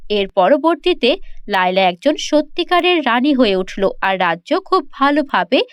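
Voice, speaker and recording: High-pitched woman narrating a children's fairy tale. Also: very animated, measured pace, clear, resonant tone, no echo, studio quality. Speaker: storyteller narrator.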